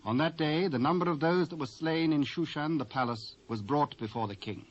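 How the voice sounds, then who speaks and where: British male scripture narrator, slightly high voice. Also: normal speed. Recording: dull lo-fi cassette transfer.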